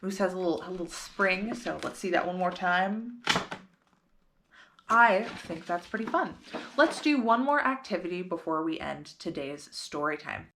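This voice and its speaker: A woman's voice: lowish voice, animated, normal speed, noisy background. A woman hosting storytime, reading a picture book aloud expressively and putting simple questions to young listeners.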